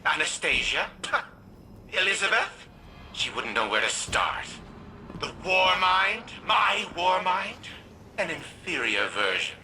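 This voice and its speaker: A sneering, scornful AI. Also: male voice, high-pitched, very animated, slow, bright, forceful tone, a little hiss or hum, heavily acted. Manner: sneering, disdainful. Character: arrogant male scientist AI, scornful. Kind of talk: video game dialogue.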